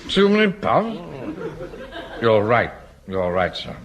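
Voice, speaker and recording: An actor playing a pompous, flustered middle-aged Home Guard captain with an English accent in a British sitcom. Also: male voice, lowish voice, wildly swooping pitch, slow pace, exaggerated voice. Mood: tipsy, confused. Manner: pompous, blustering. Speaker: actor in a comedy. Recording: noisy old comedy broadcast.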